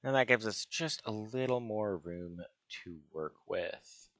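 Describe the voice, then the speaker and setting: A man with a gravelly voice giving casual gaming commentary as he builds, musing and hesitating as he talks to himself. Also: fairly low pitch, expressive, takes it slowly, fairly bright tone, dry recording. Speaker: gaming video host. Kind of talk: casual Minecraft building commentary, thinking aloud.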